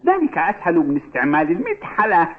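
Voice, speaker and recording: A man's voice: a little high, wildly swooping pitch, quick pace. An actor playing a jovial, boastful middle-aged rich father in an Arabic cartoon dub, effusive and prone to chuckling. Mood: smug, jolly. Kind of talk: dubbed cartoon acting in formal Arabic. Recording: tinny, band-limited old TV dub.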